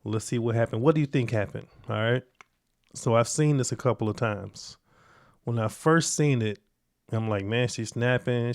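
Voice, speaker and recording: A man's voice: medium pitch, a little mellow. A man hosting a reaction video, giving casual, conversational commentary in an informal, slangy way.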